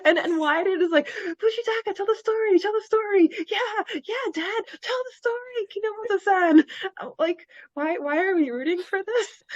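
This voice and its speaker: A woman's voice: extremely high pitch, normal speed, no room sound, muffled recording. A woman podcast co-host chatting casually and animatedly about a book, often acting out imagined dialogue. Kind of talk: casual book-discussion chat.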